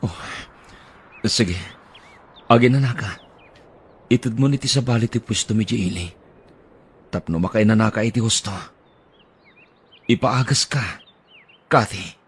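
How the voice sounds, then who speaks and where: A man's voice: lowish voice, unhurried, pauses often, slightly rough. Man playing an earnest, reassuring husband. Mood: urging. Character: dutiful middle-aged husband and son, earnest.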